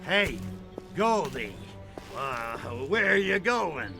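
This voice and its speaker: An actor playing a cocky, crude alien invader in a video game, brash, sarcastic and swaggering. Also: male voice, fairly high pitch, big pitch swings, slow, creaky, heavily acted. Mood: wry. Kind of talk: video game cutscene acting. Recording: background music under dialogue.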